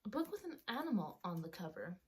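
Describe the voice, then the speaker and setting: A woman's voice: mid-range voice, sing-song, fast talker, darkish tone, a little hiss or hum. Chatty young woman talking through a retainer. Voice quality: slightly altered by a retainer. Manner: rambling, self-correcting, with many ums. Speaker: book vlogger. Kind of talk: casual chatty vlog. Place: outdoors or by a sunny window at home.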